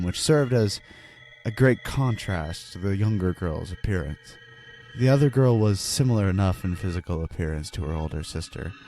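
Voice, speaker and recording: A man's voice: fairly low pitch, mellow, lightly acted. A man playing a haunted husband and father, narrating a first-person horror story in an ominous, earnest and theatrical manner. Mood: wary, foreboding. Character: haunted husband and father confessing a terrifying ordeal. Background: background music.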